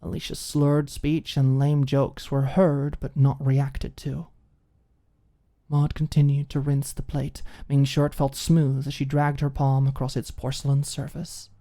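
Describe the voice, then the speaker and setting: A woman reading a scripted story as an audiobook narrator, in an expressive storytelling style. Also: a bass voice, measured pace.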